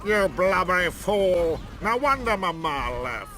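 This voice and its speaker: A man's voice: high-pitched, sing-song, a little slow, sharp tone, slight background noise, full character voice. Sneering imperial nobleman. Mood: haughty. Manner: sneering, condescending. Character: haughty scornful imperial brother, adult man. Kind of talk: video game cutscene acting. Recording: game audio with music behind.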